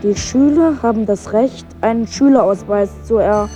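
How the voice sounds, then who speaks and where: Actress as a high-voiced declaiming woman. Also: normal speed, mellow, soft background music, natural voice. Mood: declamatory. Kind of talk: stylised radio play recitation.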